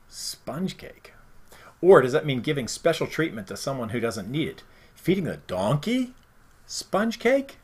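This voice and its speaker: A man's voice: medium pitch, husky. A middle-aged man co-hosting a live quiz show in a playful, teasing way, recorded at a desk in a room with bookshelves. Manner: playful, teasing, reads options aloud with lots of laughing. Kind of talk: casual, joking quiz hosting.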